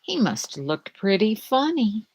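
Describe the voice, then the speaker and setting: A woman reading a picture book aloud to young children, with dramatic, deliberate phrasing and questions to the listeners. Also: medium pitch, big pitch swings, darkish tone, a little hiss or hum.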